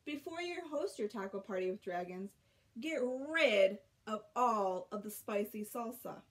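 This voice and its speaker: A young woman reading a children's picture book aloud, expressively, with drawn-out emphasis and dramatic pauses. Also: medium pitch, expressive. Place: small bedroom at home.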